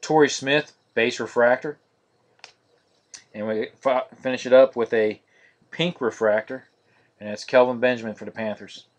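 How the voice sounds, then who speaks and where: A man hosting a live-streamed card break, naming each card in a steady, monotonous voice. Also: medium pitch, moderate pace, pauses often. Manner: monotonous, steady listing of cards.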